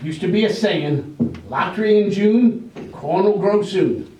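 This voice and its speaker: A man's voice: sits high, a little slow, dark tone. A man in amateur readers theater, playing a cantankerous, stubborn village man in his late seventies with rustic, scornful talk. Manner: scornful, rustic country speech. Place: live performance in a library room. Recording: roomy, distant, noisy live library recording.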